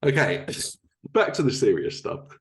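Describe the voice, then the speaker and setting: A man's voice: medium pitch, big pitch swings, a little slow. A man hosting a casual interview, informal and teasing, with some swearing. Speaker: podcast host.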